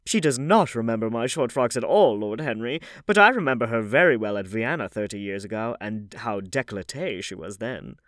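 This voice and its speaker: A man voicing a lively, gossipy old aristocratic hostess in a theatrical, scolding, exclamatory way for an audiobook. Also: medium pitch, fairly quick, hardly any pauses, dry recording, studio quality, lightly acted. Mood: scandalized, curious.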